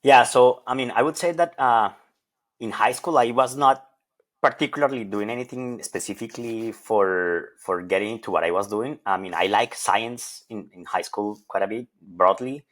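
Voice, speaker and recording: A man's voice: fairly low pitch, moderate pace. A middle-aged man from Colombia, a professor, answering interview questions thoughtfully with frequent hesitations and repeated words. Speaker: associate professor of plant evolution.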